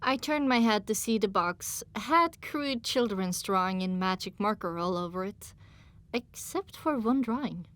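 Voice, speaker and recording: A woman's voice: mid-range voice, lively intonation, measured pace, fairly bright tone. A woman reading scripted first-person story narration as an audiobook narrator.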